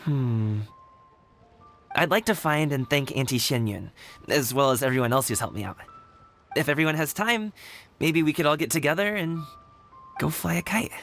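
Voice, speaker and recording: A man's voice: fairly high pitch, animated, normal speed, long pauses, fairly bright tone, soft background music. An actor playing an earnest, modest young man in a video game, a devoted son who speaks hesitantly and bashfully. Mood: warm, sheepish. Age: young adult.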